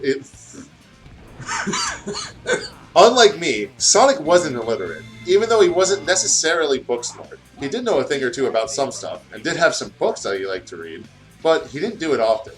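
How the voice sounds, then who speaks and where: Animated casual guy over game music. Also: a little high, poor audio. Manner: casual, profane, self-deprecating. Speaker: guest reading fan fiction aloud. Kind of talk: casual chat and reading a story aloud during a game playthrough.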